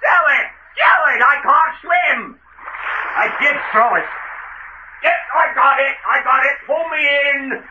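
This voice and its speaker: A man's voice: high-pitched, animated, moderate pace, noisy background. A man playing a menacing, sneering gangster henchman who talks in tough slang in an old radio drama. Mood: panicked. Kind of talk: 1940s radio adventure acting. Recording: muffled old radio recording.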